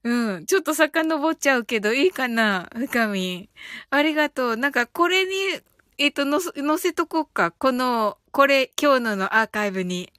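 A woman's voice: high-pitched, animated, moderate pace, no room sound, clean studio sound. A woman hosting a live audio stream, chatting casually and reading out listener comments in a giggly, chatty way. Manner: giggly, chatty, often ends phrases with soft filler particles.

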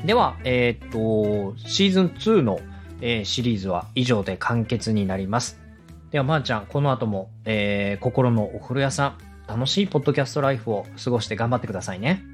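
A man's voice: mid-range voice, expressive, a little fast. A man hosting a podcast as a coach, speaking in a polite, encouraging manner. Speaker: podcast host and radio director. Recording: music playing behind the voice. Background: background music.

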